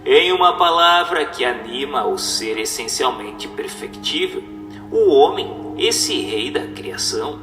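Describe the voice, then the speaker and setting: A man's voice: medium pitch, expressive, slow. A man giving a dramatized, oratorical reading of a scripted text in an emphatic, didactic manner. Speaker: narrator reading a spiritist text. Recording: echoey hall reverb with music. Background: music.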